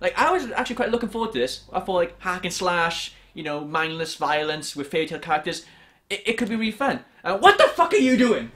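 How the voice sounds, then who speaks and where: A man's voice: sits high, very animated, fairly quick, pushed and bright. A young man giving an exasperated, sweary, informal comedic game review. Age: young adult. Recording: noisy home room recording.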